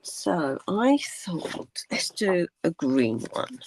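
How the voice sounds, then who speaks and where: An older woman with a croaky voice, chatting casually through a craft tutorial, rambling with many ums and dropping to a whisper while she works. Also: on the low side, big pitch swings, very slow, a little mellow, slight background noise, rough recording. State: croaky from cold weather. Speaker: crafting channel host.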